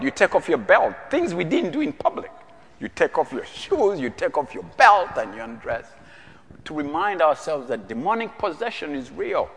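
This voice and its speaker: A man's voice: medium pitch, a bit bright. Middle-aged male prison chaplain from Uganda, earnest sermon with rhetorical questions, echoing church. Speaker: prison chaplain preaching as guest.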